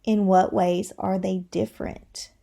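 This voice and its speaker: A woman's voice: mid-range voice, level tone, unhurried, vocal fry, warm tone. A woman reading educational material aloud as a teacher, in an instructive manner that addresses the listener directly. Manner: instructive, asks the listener questions. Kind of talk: educational read-aloud with explanatory asides.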